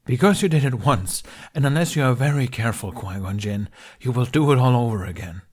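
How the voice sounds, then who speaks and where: A man's voice: medium pitch, fairly quick, darkish tone, voice pushed up, close to an everyday voice. A man voicing a furious, grieving middle-aged knight who speaks in a heated, accusing, raised voice in an audiobook reading. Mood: bitter.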